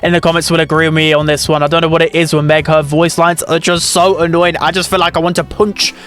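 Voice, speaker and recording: A man's voice: a little high, flat delivery, fast talker, fairly bright tone, faint music behind. A man hosting a casual, opinionated ranking commentary in a chatty, informal way with exaggerated opinions. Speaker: video creator and host.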